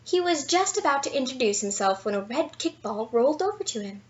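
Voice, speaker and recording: A high-voiced woman reads a children's bedtime story aloud. Her delivery is playful and expressive, leaning into key words for drama. The sound is a little dull and lo-fi, like a simple home recording. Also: fairly quick. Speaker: storyteller reading a children's picture book aloud. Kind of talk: animated bedtime story read-aloud with asides.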